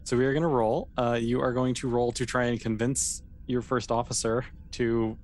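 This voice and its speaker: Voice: medium pitch, little pitch movement, moderate pace, darkish tone, a little hiss or hum. A game master narrating a tabletop game off the cuff, explaining things in a loose, conversational way full of asides.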